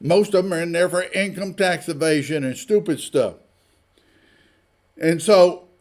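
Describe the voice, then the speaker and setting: A man giving informal, opinionated news commentary in a blunt, folksy, indignant manner, often throwing out rhetorical questions. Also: sits high. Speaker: online commentator.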